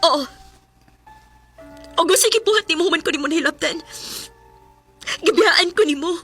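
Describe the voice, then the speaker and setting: A woman's voice: very high voice, normal speed, sharp tone, soft background music. An actress with a Cebuano accent playing a hurt, abandoned young woman in a radio drama, speaking reproachfully and emotionally.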